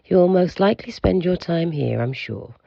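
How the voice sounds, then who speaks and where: A man playing an aloof, pompous keeper of an institute for monsters in an audio drama, speaking in a formal, measured, curt way with a gravelly, husky voice. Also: a little high, lively intonation, dark tone, dry recording, dull audio. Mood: formal, informative.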